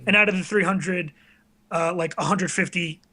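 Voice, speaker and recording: A man with a high, bright voice chats informally as a guest. He comes across as earnest and self-deprecating, often hesitating as he works through his thoughts. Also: steady tone, normal speed. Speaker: interview guest, web video creator. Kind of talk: casual conversational chat.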